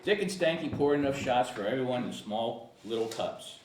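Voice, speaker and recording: A man's voice: medium pitch, animated, normal speed, darkish tone, far from the mic. A man narrating stage directions and character descriptions at a screenplay table read. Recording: echoey room, off-mic, faint hiss.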